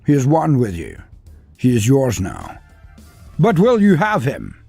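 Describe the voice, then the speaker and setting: Man doing a rough-voiced ork chieftain, declaiming to a crowd, faint music under. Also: mid-range voice, huge pitch swings, a little slow, mellow, dry recording, exaggerated voice. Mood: rousing. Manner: declaiming, rhetorical questions.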